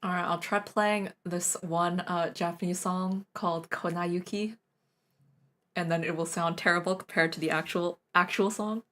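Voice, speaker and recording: A woman's voice: on the low side, steady tone, normal speed, a bit bright. A young woman streaming a casual recorder lesson, rambling and self-deprecating, with frequent laughs. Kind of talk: casual live-stream chat.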